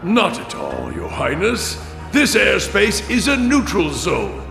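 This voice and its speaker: A calculating imperial chancellor from a video game. His voice is gravelly and rather high for a man, and he delivers formal, commanding courtesies at a deliberate, slow pace. Every phrase is measured and imperious. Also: wildly swooping pitch, fairly bright tone, full character voice. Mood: coolly courteous. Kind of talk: dramatic video game cutscene acting. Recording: echoey hall reverb, music behind. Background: music.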